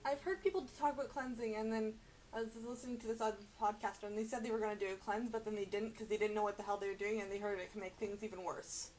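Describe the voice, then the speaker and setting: A young woman co-hosting a casual chat, reacting to stories in an animated, exclamatory, informal way. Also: medium pitch, fast, a little hiss or hum, low-quality recording. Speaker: podcast co-host.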